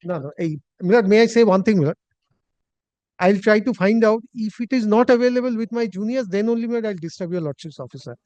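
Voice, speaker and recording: Man, Indian Bengali accent, court advocate, deferential, halting pleas, rapid speech, slightly dull lo-fi audio. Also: sits high, a little mellow, clear, resonant tone, dry recording. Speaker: advocate arguing before a judge.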